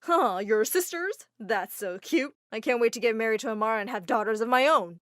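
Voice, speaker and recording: A woman voicing a possessive, menacing young male fiancé with loud, drawn-out, theatrical delivery. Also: a little high, animated, dry recording, clean studio sound, natural voice.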